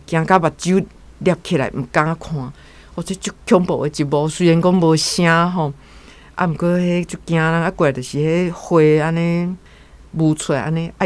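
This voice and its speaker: A man's voice: a little high, dry recording. A man with a Taiwanese accent giving casual commentary on a story as a guest.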